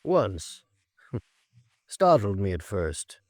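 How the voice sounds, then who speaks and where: An actor playing a big, middle-aged, graying doctor, measured and reflective, in a 1950s American radio drama. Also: male voice, lowish voice, big pitch swings, darkish tone, dry recording, studio quality, heavily acted. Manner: measured, thoughtful, educated phrasing.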